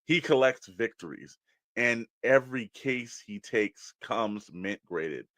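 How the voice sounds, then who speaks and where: A man's voice: mid-range voice, lively intonation, takes it slowly, fairly bright tone, no echo. An American man co-hosting a podcast in a casual, conversational style. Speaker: podcast co-host. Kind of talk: casual toy podcast chat.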